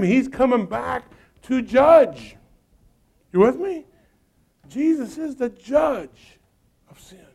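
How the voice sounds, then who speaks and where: A man preaching with a high, gravelly voice. He builds to loud, urgent peaks, then drops to low, quiet asides. His voice sits high and stays rough with fry. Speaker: pastor. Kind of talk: sermon.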